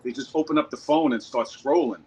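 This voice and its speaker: A man's voice: mid-range voice, a little fast, slight background noise. Laid-back man chatting mid-workout. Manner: relaxed, rambling, swears freely. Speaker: workout channel host. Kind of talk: casual chat during outdoor workout. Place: outdoor park.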